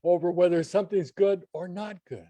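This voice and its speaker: A man's voice: sits high, a little fast, noisy background. A man giving opinions as a regular guest reviewer in casual, conversational radio chat.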